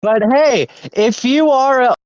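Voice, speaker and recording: A man's voice: very high voice, takes it slowly, lo-fi sound, a crowd in the background. A young man casually hosting a podcast, rambling with lots of "anyways" and laughter. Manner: rambling, many fillers and laughs. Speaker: podcast host.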